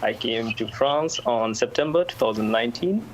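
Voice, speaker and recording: A man's voice: medium pitch, animated, moderate pace, poor audio. A student in his twenties from India with an Indian accent, introducing himself on a webinar. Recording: faint noise on online call.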